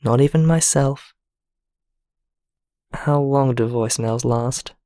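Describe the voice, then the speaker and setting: An actor playing a vulnerable, lonely man who leaves a halting, confiding, apologetic voicemail in a naturalistic audio drama. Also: medium pitch, dark tone, no room sound, studio quality, natural voice. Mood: apologetic, grateful.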